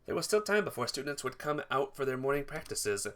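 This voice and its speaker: A man's voice: medium pitch, animated, brisk, fairly bright tone. A man reading first-person visual novel narration aloud for a playthrough, stumbling over words and rereading them.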